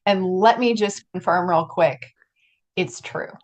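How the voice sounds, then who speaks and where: A woman's voice: fairly low pitch, measured pace. A woman hosting a podcast, speaking in a casual, conversational interview style with effusive enthusiasm.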